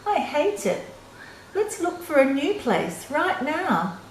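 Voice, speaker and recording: A woman's voice: high voice, sing-song, takes it slowly, voice pushed up, heavily acted. An older woman voicing a bossy, fussy female bird wife with a blunt manner in a children's storybook. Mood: fed up. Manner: blunt, opinionated. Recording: roomy echo, faint background noise.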